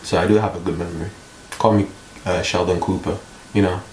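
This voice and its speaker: A young man talks casually to his camera in a mellow, lowish voice, rambling and joking at his own expense. The room is echoey, with a faint hiss underneath. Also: fairly even intonation, fairly quick. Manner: rambling, joking, self-mocking. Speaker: vlogger. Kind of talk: casual vlog monologue.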